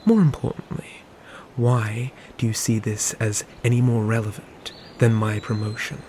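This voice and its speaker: A man playing a dutiful, ambitious knight and protective brother in a scripted medieval fantasy audio roleplay, with measured, formal phrasing. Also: on the low side, steady tone, mellow, a little hiss or hum, close to an everyday voice. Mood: exasperated.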